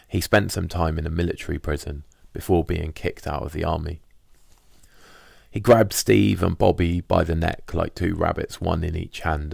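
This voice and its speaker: Very deep, creaky man reading a diary. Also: dry recording, clean studio sound. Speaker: audiobook narrator reading a diary. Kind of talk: scripted first-person diary narration.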